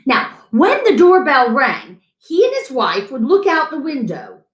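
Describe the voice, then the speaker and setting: A middle-aged woman reading a picture book aloud to children in an expressive story-time style. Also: sits high, measured pace. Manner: animated, chatting to an unseen guest between lines. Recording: roomy echo.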